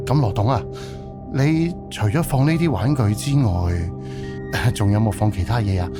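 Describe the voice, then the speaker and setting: A man's voice: lowish voice, a little slow, lightly acted. A man acting a young, polite and inquisitive haunted-house owner in a Cantonese audio drama, asking hesitant questions. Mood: curious. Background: background music.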